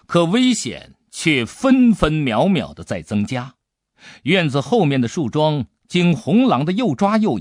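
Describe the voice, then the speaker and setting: A man narrating an adventure novel as an audiobook, with expressive, deliberate dramatic storytelling. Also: a little high, dry recording, clean studio sound.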